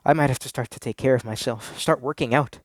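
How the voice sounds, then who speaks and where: A man's voice: mid-range voice, quick pace, no echo, clean studio sound. A man reading a first-person story aloud in a theatrical, earnest, confessional way.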